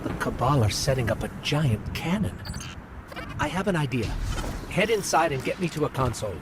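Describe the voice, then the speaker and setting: A man's voice: medium pitch, very animated, normal speed, very noisy, lightly acted. A man voicing a small, confident robot companion in video game dialogue, joking and planning aloud. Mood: wry. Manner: quippy, upbeat planner. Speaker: companion guide. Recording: game audio over loud music.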